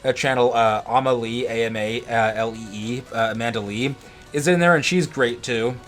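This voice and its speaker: A man's voice: mid-range voice, normal speed, a bit bright. Male let's play co-host, casual and wordy, repeats and restarts words, game music underneath. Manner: rambling, stammering with repeated words. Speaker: gaming video co-host. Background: video game music.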